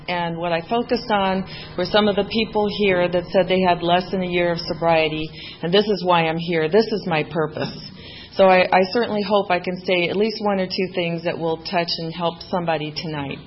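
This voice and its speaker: A woman's voice: on the low side, moderate pace, highs cut off, slight background noise. A woman raised in Mexico, a native Spanish speaker, giving a candid personal testimony as main speaker at a recovery meeting, with self-deprecating humor and many fillers.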